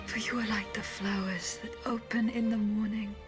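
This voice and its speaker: An actress playing a devoted automaton bodyguard in a video game, earnest and dramatic. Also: female voice, mid-range voice, steady tone, normal speed, close to an everyday voice. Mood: tender, breathy. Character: devoted female automaton bodyguard, defiant yet tender. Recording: music behind the voice.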